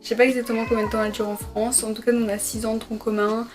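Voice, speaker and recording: Young woman, flat voice, hesitant answers. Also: fairly high pitch, very fast, over music. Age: young adult. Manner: hesitant, many fillers and self-corrections. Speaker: medical student vlogger. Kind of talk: casual sit-down Q&A vlog. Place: her bedroom at her parents' home.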